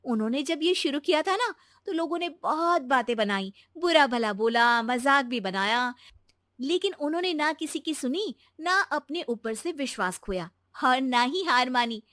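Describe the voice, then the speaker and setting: A woman voicing a gentle but determined young daughter-in-law in a moral story, earnest and coaxing. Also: high-pitched, expressive, measured pace, no room sound, studio quality. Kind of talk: animated Hindi moral-story voice acting.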